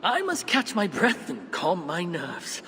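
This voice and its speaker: In long reverb, a nervous, eager male helper speaks in a high, animated voice. The delivery is excitable game cutscene acting. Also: slow, exaggerated voice. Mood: earnest, uneasy. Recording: long reverb, faint noise.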